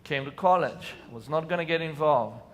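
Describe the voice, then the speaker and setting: A man's voice: fairly high pitch, normal speed, a bit bright. A man from Israel with an Israeli accent, telling personal stories earnestly to a conference audience, with sudden emphatic bursts. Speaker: conference speaker. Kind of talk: personal storytelling talk on stage. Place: large hall on a lit stage. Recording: distant hall reverb, faint room noise.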